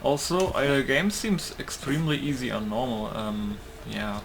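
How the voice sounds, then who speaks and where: A man's voice: mid-range voice, fairly even intonation, unhurried, gravelly, background noise. A man giving casual gameplay commentary, thinking aloud in a hesitant way. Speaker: gamer recording a playthrough.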